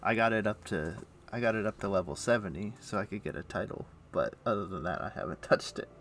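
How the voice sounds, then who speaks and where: A man's voice: on the low side, quick pace, fairly bright tone, slight background noise. A man streaming a game, chatting casually and thinking aloud in an easygoing, musing way. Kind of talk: casual chat while playing an online game.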